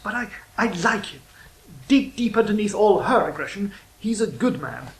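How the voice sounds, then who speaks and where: A man's voice: high-pitched, very animated, moderate pace, echoey room. A man playing an earnest, dreamy young royal poet who speaks in a hesitant, heartfelt way.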